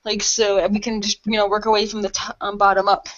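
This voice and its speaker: A woman's voice: medium pitch, flat delivery, quick pace, a bit bright, dull audio. A woman improvising casual, slangy, sarcastic banter as a player in a radio role play.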